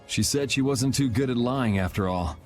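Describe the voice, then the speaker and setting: A man's voice: medium pitch, normal speed, close to an everyday voice. An actor playing a laid-back, wry young man in a video game cutscene, with music behind. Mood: wry, thoughtful. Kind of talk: English game cutscene voice acting.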